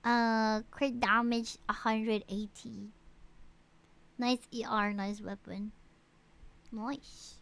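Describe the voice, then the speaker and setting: A woman live-streaming a game, chatting casually with viewers and reading out stats in an animated way, with frequent exclamations and asides. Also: a little high, slow, gravelly, clear, resonant tone. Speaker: live streamer reviewing players' game characters.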